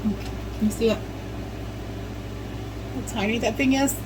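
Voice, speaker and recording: A woman's voice: a little high, steady tone, slow, noisy background. Chatty woman rambling with lots of ums. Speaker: vlogger. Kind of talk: casual chat while preserving herbs.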